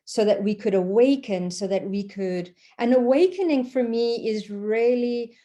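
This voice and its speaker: Woman, mellow voice, rambly spiritual talk, keeps saying okay?. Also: medium pitch. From Portugal. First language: Portuguese. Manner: checks in with a frequent questioning "okay?"; loose and rambling, with fillers and restarts. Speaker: Reiki practitioner.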